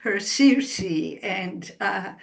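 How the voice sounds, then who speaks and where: A woman author speaking in a slow, measured way in an interview, pausing as she explains. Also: mid-range voice, creaky. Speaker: author guest. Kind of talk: relaxed interview answers.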